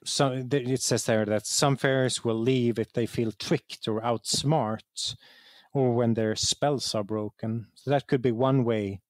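A man giving conversational explanations as an interview guest, halting and correcting himself as he searches for words. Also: medium pitch, fairly even intonation, no echo, clean studio sound. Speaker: guest, game writer.

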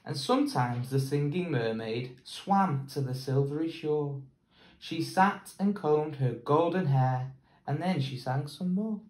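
A young man with an English accent, a teacher reading a picture book aloud in a slow, measured way, filmed indoors on a close camera. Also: fairly high pitch, expressive, darkish tone, reverberant, poor audio. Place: plain indoor room.

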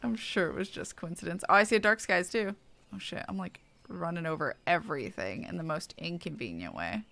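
A woman's voice: on the low side, lively intonation, fairly quick, vocal fry, pushed and bright, no echo. A woman streamer chatting casually and muttering to herself as she plays a game. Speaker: streamer playing an online fantasy game. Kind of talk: casual live gaming commentary.

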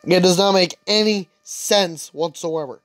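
Young man, high voice, chatty gameplay commentary, grumbling, dry close mic. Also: a little slow, a bit bright, no room sound. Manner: grumbling, chatty, talks to himself while playing. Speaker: gaming channel host. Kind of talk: casual let's-play commentary.

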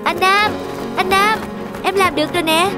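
A woman's voice: extremely high pitch, steady tone, moderate pace. A woman voicing an eager, proud, childlike little boy in a cartoon. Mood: proud, excited. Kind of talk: cartoon voice acting. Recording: music behind the voice.

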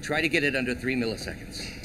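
An actor playing an absent-minded middle-aged scientist and mentor in a video game, brisk and understated. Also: male voice, medium pitch, background noise, natural voice. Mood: brisk, preoccupied.

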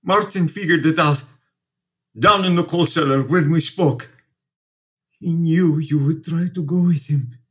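Casting: urgent, protective priest, very breathy rough voice, fairly high, clipped deliberate radio-play lines. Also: male voice, fairly bright tone. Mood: earnest, reassuring. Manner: short, deliberate, insistent sentences. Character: urgent, protective immigrant priest, resolute and devoted. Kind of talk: radio drama acting.

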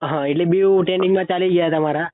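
A man's voice: high voice, fairly even intonation, fast. A man conducting a casual interview over the phone. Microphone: phone call. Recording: tinny narrowband phone line.

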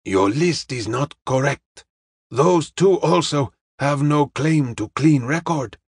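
Man acting a slow, deliberate detective. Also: a little high, husky, dry recording, studio quality, exaggerated voice. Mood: calm, assured. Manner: slow, deliberate, formally polite, drops articles. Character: courteous, serene Chinese detective, clipped deliberate speech.

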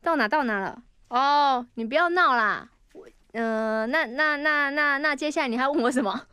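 A woman's voice: high-pitched, normal speed, pushed and bright, dry recording. A woman with a Taiwanese Mandarin accent doing playful improvised radio banter, giggly and flustered, often repeating words. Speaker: radio comedy performer.

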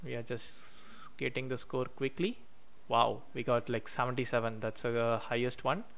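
Man, coding tutorial voice-over, smooth clear tone, keyboard clicks behind, step-by-step explaining. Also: medium pitch, steady tone. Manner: explains step by step while typing code, many "uh" pauses. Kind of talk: screen-recorded coding walkthrough. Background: keyboard typing.

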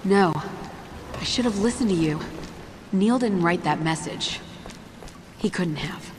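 A woman's voice: lowish voice, lively intonation, unhurried, slight background noise. An actress playing a level-headed, determined young woman surviving in a horror video game. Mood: resolved, regretful. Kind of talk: video game drama acting. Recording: loud game music underneath.

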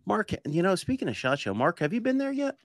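A man's voice: high voice, sing-song, quick pace, no room sound. A man hosting a podcast in a casual, chatty interview style, speaking into a close desk microphone in his home studio. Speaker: podcast host. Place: home studio, video call.

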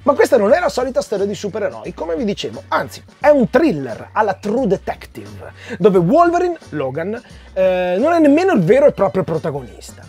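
A man's voice: sits high, wildly swooping pitch, a little fast, a little mellow. A man in his forties presenting a comic review in a chatty, conversational way, addressing viewers directly. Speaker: comic book reviewer, video host. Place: small room with shelves of comics, indoors. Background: background music.

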